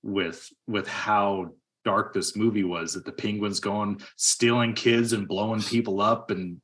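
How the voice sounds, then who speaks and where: A man's voice: lowish voice, steady tone, unhurried, a bit bright. A man co-hosting a podcast, discussing movies casually in an emphatic, argumentative way. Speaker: podcast co-host.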